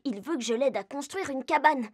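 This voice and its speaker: A woman voicing a cheerful, curious young animal in a children's cartoon, talking in a bright, childlike way straight to young viewers. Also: sits high, expressive, fairly quick. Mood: cheerful, eager. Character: Jasmin, cheerful curious young animal who travels to friends. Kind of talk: children's cartoon dialogue in French. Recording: music behind the voice.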